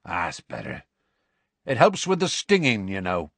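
A huge, grumpy bearded half-giant from a storybook. He speaks gruffly in rustic, muttering phrases, with a husky edge and big swings in pitch, recorded very dry. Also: wildly swooping pitch, unhurried, dry recording, full character voice. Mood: gruff, defensive.

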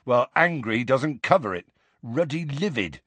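A man voicing a huge, gruff, evasive gamekeeper with rustic colloquial speech in an audiobook. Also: mid-range voice, sing-song, measured pace, fairly bright tone, no room sound, voice pushed up, heavily acted. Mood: heavy, gruff. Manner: colloquial rural speech, dropped words.

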